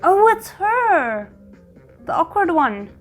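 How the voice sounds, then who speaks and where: A woman hosting a reaction video, giving casual, animated commentary and reacting aloud as she watches a series. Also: very high-pitched, slow, soft background music.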